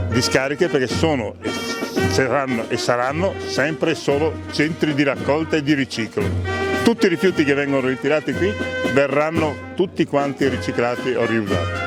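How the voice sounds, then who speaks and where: A man being interviewed for the news as an official, making his points in an emphatic, insistent way. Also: medium pitch.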